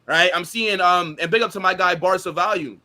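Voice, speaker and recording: High-pitched man with a bright, emphatic voice. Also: fairly quick. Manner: slangy banter, emphatic rhetorical questions. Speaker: football show host and streamer. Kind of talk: casual, opinionated football chat.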